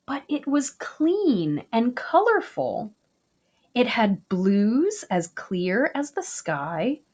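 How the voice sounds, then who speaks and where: A woman reading a children's picture book aloud, slow, gentle and expressive. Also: on the high side, husky, highs cut off. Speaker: storybook reader.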